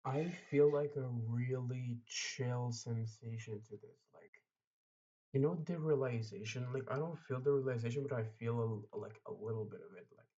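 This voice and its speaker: A man's voice: medium pitch, darkish tone, muffled recording. A man giving casual livestream reaction commentary in a rambling, blunt way with crude slang. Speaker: streamer. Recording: distant mic, faint noise and clicks.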